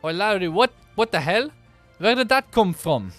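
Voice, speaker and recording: A man's voice: sits high, big pitch swings, normal speed, fairly bright tone, no echo, faint music behind, voice pushed up. A man putting on a gung-ho, protective warrior with an attempted Irish accent for a story reading. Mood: startled. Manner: blustering, commanding.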